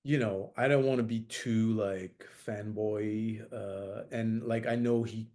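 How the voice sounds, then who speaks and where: A man's voice: fairly low pitch, fairly even intonation, slow pace, darkish tone. A middle-aged man from France, a jazz saxophonist as interview guest, talking thoughtfully with many fillers and restarts. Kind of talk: reflective interview talk.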